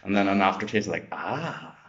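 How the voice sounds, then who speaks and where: Low-voiced man vlogging a workout. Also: expressive, moderate pace, fairly bright tone. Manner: boisterous, chatty, swears when hyped. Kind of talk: casual workout vlog. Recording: echoey, dull lo-fi room sound.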